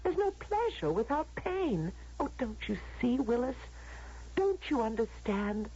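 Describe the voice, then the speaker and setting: A woman's voice: fairly high pitch, very animated, a little slow, dark tone, husky, heavily acted. An actress with an American accent playing a yearning, earnest young woman in a theatrical, questioning radio drama style. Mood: anguished. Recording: muffled, lo-fi old radio broadcast.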